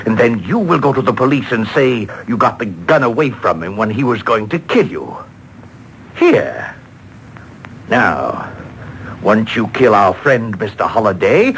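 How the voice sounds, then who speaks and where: A smug, animated radio-drama killer. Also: male voice, mid-range voice, poor audio. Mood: coolly menacing. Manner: deliberate, taunting. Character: smug, menacing armed male killer, coolly gloating. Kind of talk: 1940s radio drama acting. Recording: home-taped old radio broadcast, faint noise.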